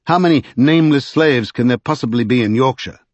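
A man voicing a confident, persuasive gentleman magician in an audiobook, with emphatic old-fashioned speech. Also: medium pitch, a little fast, no echo, muffled recording, close to an everyday voice. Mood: triumphant, impatient.

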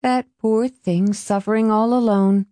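A woman's voice: medium pitch, fairly even intonation, measured pace, dark tone, no room sound, pitched above the performer's own voice, lightly acted. A woman voicing a bitter, strained mother in a storybook reading, with an emphatic, wounded manner. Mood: mournful. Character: bitter, strained small-town mother, brittle and blurting.

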